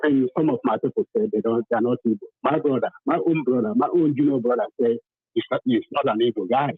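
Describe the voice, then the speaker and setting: Over a tinny, phone-like connection, a husky Nigerian man calls in to a talk show. He speaks emphatically and with passion, circling back over phrases to stress them. The line has a roomy ring to it. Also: medium pitch, quick pace. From southern Nigeria. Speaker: caller to a talk programme. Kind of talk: impassioned phone-in testimony.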